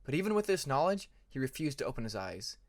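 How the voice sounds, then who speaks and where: A man's voice: medium pitch, very animated, quick pace, no echo, studio quality. A man reading scripted fantasy fiction as an audiobook narrator, in an earnest, dramatic storytelling manner.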